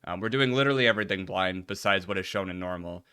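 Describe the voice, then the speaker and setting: Excitable man with a bright, lowish voice. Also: fast talker, smooth, no echo, studio quality. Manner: excitable, jokey. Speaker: streamer, host of a raid playthrough. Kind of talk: casual gaming chat with friends. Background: game music.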